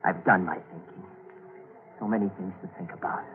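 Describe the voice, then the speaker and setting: A man's voice: low voice, fairly even intonation, moderate pace, faint music behind, lightly acted. An actor playing a scheming, persuasive young chemist's assistant, brooding through his story in 1940s radio drama style. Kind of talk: 1940s radio acting with inner narration. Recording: muffled, noisy 1940s radio recording.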